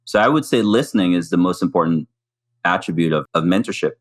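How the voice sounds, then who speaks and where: A man giving calm, measured advice as a guest. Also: deep voice. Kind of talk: measured interview answer.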